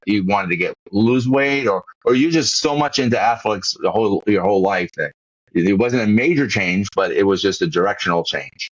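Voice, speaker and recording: A low-pitched man with a Boston accent hosts a casual podcast interview, circling back and restarting his sentences as he goes. The sound is dry and a little muffled. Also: dry recording. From Massachusetts, USA. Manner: rambling, many restarts and repeated words. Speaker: podcast host.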